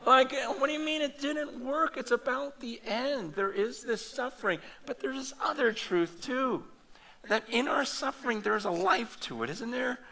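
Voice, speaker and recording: A man preaching a conference sermon in a loose, animated, conversational way, full of fillers and restarts. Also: very high-pitched, normal speed, creaky, low-quality recording. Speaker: pastor. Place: large hall or meeting room before an audience.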